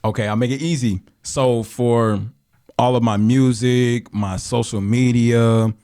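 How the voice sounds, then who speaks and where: A young man in his twenties, a guest musician, chatting casually on a podcast in a relaxed, slangy way full of fillers. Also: medium pitch, measured pace, clean tone.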